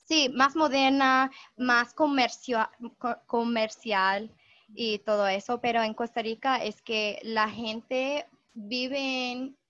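A young woman whose first language is English, talking slowly and thoughtfully in learned Spanish as a podcast guest, with many "am" fillers and restarts. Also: fairly high pitch, steady tone, fairly bright tone, no echo. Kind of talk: casual conversation in learned Spanish. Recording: dull, dry lo-fi recording.

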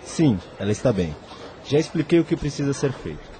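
A man with a Brazilian accent playing an attentive, businesslike hospital doctor in a radio soap, with a husky voice. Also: mid-range voice, sing-song, brisk, warm tone, a faint crowd, a little hiss or hum, close to an everyday voice. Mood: polite, brisk. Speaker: radio drama actor.

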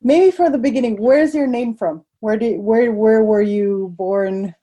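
A woman's voice: a little high, measured pace, mellow. A woman hosting a podcast, talking enthusiastically and laughing often. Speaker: podcast host. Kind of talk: podcast interview.

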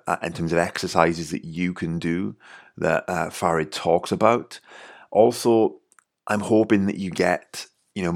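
A man's voice: deep voice, gravelly. A man with a Welsh accent hosting a podcast, talking casually with lots of "you know" and restarts. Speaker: podcast host. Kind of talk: casual podcast intro monologue.

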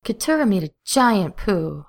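A woman voicing an insistent small child, blunt, a bit gravelly. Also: mid-range voice, very animated, measured pace, full character voice.

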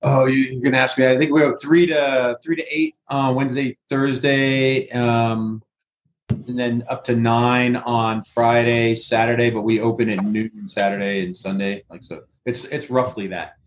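Relaxed male guest, roomy sound. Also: mid-range voice, a little slow. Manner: relaxed, matter-of-fact. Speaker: guest, brewery co-founder. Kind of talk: interview answers. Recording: roomy sound with faint noise and clicks.

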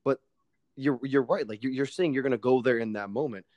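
A man's voice: mid-range voice, a little fast, a little mellow, dry recording. A young man in casual podcast conversation, talking emphatically with plenty of fillers and casual swearing. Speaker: podcast guest. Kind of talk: casual chat.

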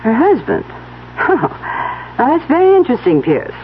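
A woman's voice: sits high, wildly swooping pitch, measured pace, heavily acted. An actress playing a scheming, cold-blooded middle-aged mother in a 1970s radio drama, insinuating and theatrical. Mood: calculating. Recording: tinny, noisy old radio broadcast.

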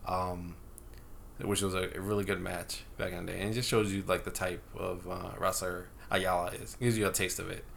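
A man with a low, gravelly voice and plenty of fry, hosting a relaxed podcast chat. He steers the talk with easy fillers, over a faint hiss behind him. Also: moderate pace. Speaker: podcast host. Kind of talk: casual wrestling chat. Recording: faint background noise.

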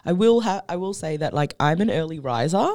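A woman's voice: low-pitched, lively intonation, brisk, darkish tone. A woman with an Australian accent, chatting casually on a podcast in a laid-back, rambling way. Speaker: podcast guest. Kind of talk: casual chat.

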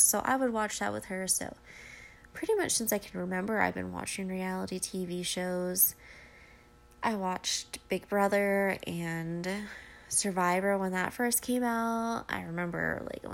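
A woman in her twenties, nasal and stuffy from a cold, chatting casually and rambling apologetically on her solo podcast from home. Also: mid-range voice. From Ohio, USA. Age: 20s. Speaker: podcast host. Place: at home beside her dog.

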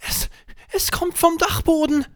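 High-pitched excitable little boy. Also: measured pace. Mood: startled. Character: small excitable, wide-eyed little boy. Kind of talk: children's bedtime story character acting.

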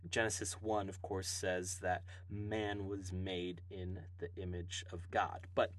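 A man's voice: low-pitched, level tone, slow pace. A man from Hawaii hosting a podcast, answering listener Bible questions in an earnest, explaining way with some fillers.

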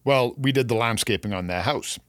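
A man's voice: medium pitch, a little fast, a bit bright, no room sound, clean studio sound. A man with a Canadian accent co-hosting a podcast, chatting casually and sharing opinions and anecdotes in a conversational way. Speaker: podcast co-host, gardener and landscaper.

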